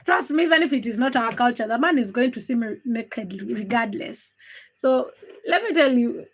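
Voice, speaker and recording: A woman's voice: fairly high pitch, expressive, moderate pace, slight background noise. A young woman from Uganda, not a native English speaker, hosting a casual livestream, chatting candidly and greeting viewers by name. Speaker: livestream host. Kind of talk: casual livestream chat reading comments.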